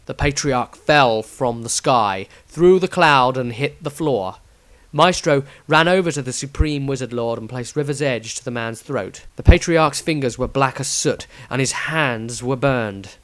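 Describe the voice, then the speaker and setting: A man's voice: medium pitch, unhurried, a bit bright, no room sound. A man reading his own fantasy story as a scripted, dramatic audiobook narration.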